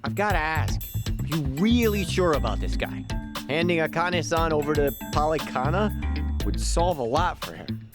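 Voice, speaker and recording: A man's voice: fairly high pitch, lively intonation, normal speed, over loud music. An actor playing a streetwise, casual male sidekick, sceptical but helpful, in a video game. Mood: frank, casual.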